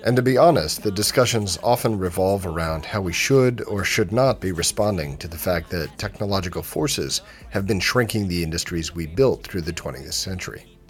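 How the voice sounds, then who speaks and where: A man hosting an interview podcast, speaking in a measured, articulate way as he introduces and questions his guest. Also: low voice, lively intonation, soft background music.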